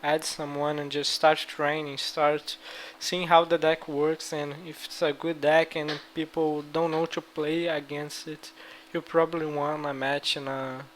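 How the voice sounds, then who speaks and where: A man's voice: on the high side, flat delivery, measured pace, a bit bright, slight background noise, poor audio. A man from Brazil with a Brazilian accent, casually giving card game strategy advice with loose, rambling speech full of fillers. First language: Portuguese. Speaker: gaming video creator. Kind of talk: casual gaming strategy talk.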